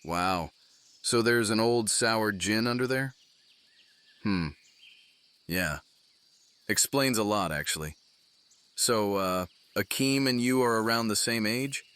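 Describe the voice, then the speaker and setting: Man acting a low-voiced gruff commander. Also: slow pace, pauses often, fairly bright tone, no echo. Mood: curious. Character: male commander, gruff, wry, fatherly leader of men. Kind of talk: fantasy audio drama acting.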